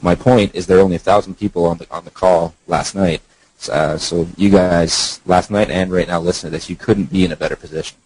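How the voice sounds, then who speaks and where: A man's voice: sits low, steady tone, a little fast, a little mellow, husky, rough recording. A man speaking as an online marketer and co-founder on a phone conference call, informal and explanatory.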